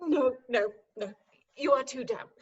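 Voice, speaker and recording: A woman's voice: sits high, a little slow, breathy edge. A woman playing a self-possessed, indignant young lady in a staged reading of a Victorian farce, haughty and theatrical. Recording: dull remote call audio.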